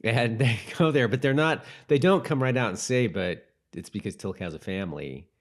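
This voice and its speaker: A man co-hosting a podcast in casual chat, talking in an informal, halting way and often repeating his words. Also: mid-range voice. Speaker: podcast co-host.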